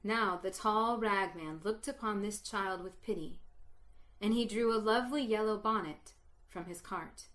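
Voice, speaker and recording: A woman reads a story aloud at a slow, unhurried pace. Her voice is bright and forward, and she lets dramatic pauses hang, dropping to a hush at the saddest moments. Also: medium pitch. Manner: slow, dramatic pauses, hushed at sad parts. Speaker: reader. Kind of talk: storytelling reading.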